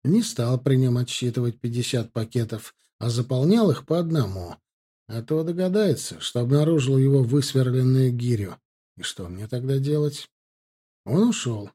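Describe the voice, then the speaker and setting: A man's voice: mid-range voice, animated, moderate pace, dark tone, breathy edge. A man reading a first-person story aloud as scripted audiobook narration. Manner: acts out dialogue with lifted, livelier delivery.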